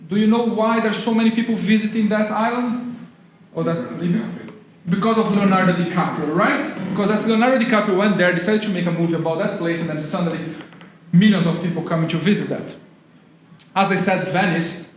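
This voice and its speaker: A high-voiced man with a Brazilian accent speaks at an event, drawing in his listeners with quick questions. His voice rings around a very echoey hall, with a faint hiss behind it. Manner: engaging, quizzes the audience. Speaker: guest speaker, tourism lecturer. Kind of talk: public talk at an event.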